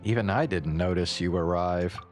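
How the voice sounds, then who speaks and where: A man's voice: sits low, moderate pace. A man giving casual, laid-back, slangy commentary while streaming a game. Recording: faint game music behind.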